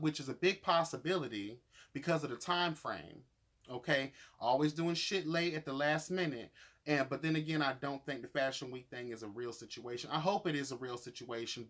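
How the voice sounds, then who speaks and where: A man's voice: medium pitch, a bit bright. A man giving casual, opinionated episode commentary as a vlogger, sassy and emphatic, with slangy wording and free swearing. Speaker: vlogger reviewing reality show episodes.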